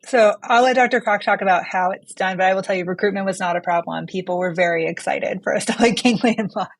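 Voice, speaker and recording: A woman's voice: medium pitch, fast talker, no room sound. A woman physician being interviewed as a study author, explaining research in a conversational way and posing questions she then answers.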